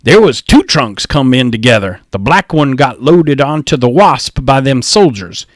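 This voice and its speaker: A man voicing a thin, strong, argumentative sailor with rough, ungrammatical working-class talk for an audiobook. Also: medium pitch, animated, unhurried, dry recording, studio quality, natural voice. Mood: conspiratorial, gruff. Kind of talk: audiobook character voice.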